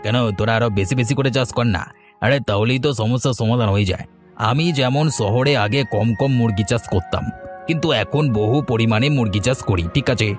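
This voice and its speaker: A man's voice: medium pitch, a little fast, faint music behind, natural voice. Breezy, greedy village schemer played by a man. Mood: persuasive. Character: breezy, greedy, unscrupulous friend back from the city. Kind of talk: Bengali fairy-tale cartoon dubbing.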